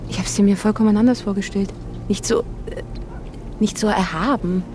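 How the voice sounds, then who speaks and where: An actress playing a bemused captive radio presenter, hesitant and dryly incredulous, in a radio drama. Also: female voice, mid-range voice, fairly even intonation, normal speed, mellow, a little hiss or hum, lightly acted. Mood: polite, awkward.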